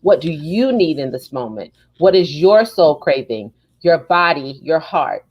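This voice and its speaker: A woman hosting a casual live podcast, chatting and reading aloud in an expressive, emphatic way. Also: low voice, unhurried, dull audio. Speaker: podcast host.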